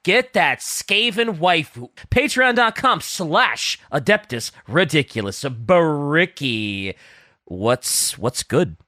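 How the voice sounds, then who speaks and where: A man co-hosting a casual podcast, upbeat and jokey, reading plugs quickly into a close mic. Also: fairly high pitch, big pitch swings, bright, forceful tone, no echo. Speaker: podcast co-host.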